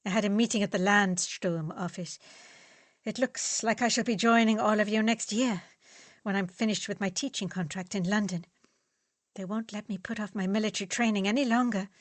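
An earnest young man, polite and measured in how he talks, as a woman reads him. The voice sits at an ordinary middle pitch. The sound is dry and close, with no room around it. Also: steady tone, no echo, natural voice. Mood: weary.

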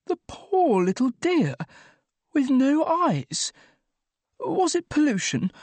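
Man putting on a high, posh, dim young gent. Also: wildly swooping pitch, a little fast, breathy edge, dry recording, heavily acted. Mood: tearful concern. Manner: upper-class, gamely enthusiastic, naive. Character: posh, dim, tender-hearted, eagerly earnest young office colleague. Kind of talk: audiobook character dialogue.

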